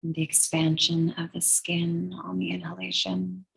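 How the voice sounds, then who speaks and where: A woman leading a guided meditation in a soothing, measured, breathy way, leaving long pauses between phrases. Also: sits low, big pitch swings, fairly bright tone, rough recording. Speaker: guided meditation and yoga teacher.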